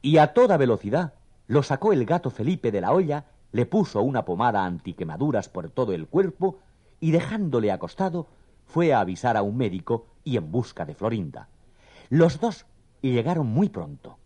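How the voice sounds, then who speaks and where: A man's voice: medium pitch, sing-song, normal speed, warm tone, husky. A man narrating a scripted children's story as the storyteller.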